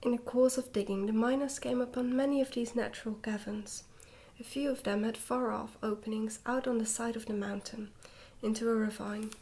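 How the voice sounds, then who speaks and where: A woman reading a storybook in a soft-spoken ASMR style, slipping often into a whisper, with a gentle, hushed delivery. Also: fairly high pitch, fairly even intonation, a little fast, hardly any pauses. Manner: gentle, hushed delivery with some stumbles over words. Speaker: ASMR reader, channel host.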